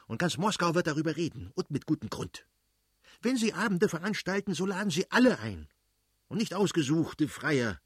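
A man's voice: fairly high pitch, animated, moderate pace, fairly bright tone, breathy edge, no echo, studio quality, pitched above the performer's own voice. A man voicing an irascible aristocratic father in an audiobook, blustering and mocking as he scolds his wife. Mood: furious, scornful. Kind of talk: dramatised audiobook reading.

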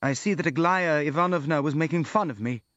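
A man's voice: fairly high pitch, animated, normal speed, a bit bright, dry recording, lo-fi sound, pitched above the performer's own voice. A man voicing an earnest, meek, lovelorn prince in an audiobook, with a hesitant, sincere manner. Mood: earnest, miserable.

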